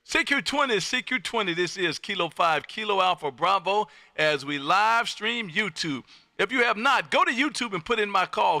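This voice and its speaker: A man chatting casually as an amateur radio operator and livestream host, with a loud, folksy manner. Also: sits high, dry recording, clean studio sound. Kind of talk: casual ham radio chat. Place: home radio room.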